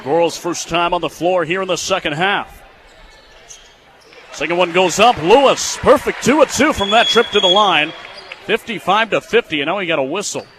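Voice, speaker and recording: A young man with an American accent calls basketball play-by-play in a high-pitched voice. His phrasing is clipped and excitable, built for live radio. Clear venue noise and court sounds sit behind him. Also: moderate pace. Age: young adult.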